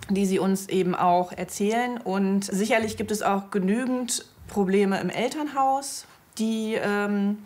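A woman teacher speaks in an interview in an ordinary mid-range voice, thoughtful and pausing now and then to find her words. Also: medium pitch.

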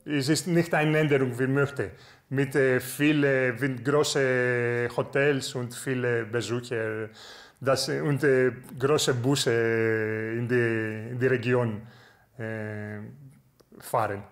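A man with a Greek accent giving an interview, halting and searching for words as a non-native speaker. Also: mid-range voice, steady tone. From Greece. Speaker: interviewed farmer.

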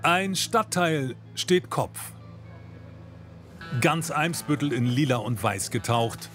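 A man's voice: a little high, expressive, slow, a bit bright, soft background music. A man narrating a scripted television news report.

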